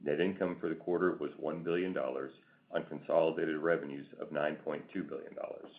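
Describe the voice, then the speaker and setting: A man's voice: extremely low pitch, fairly even intonation, a little fast, creaky. A man reading a scripted financial report as a company executive on a conference call. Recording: tinny phone-like conference line.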